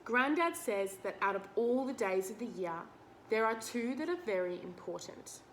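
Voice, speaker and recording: Woman, fairly high voice, children's read-aloud, simple teacherly explanations, slight background noise. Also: lively intonation, normal speed. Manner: explanatory, asks the listener simple questions.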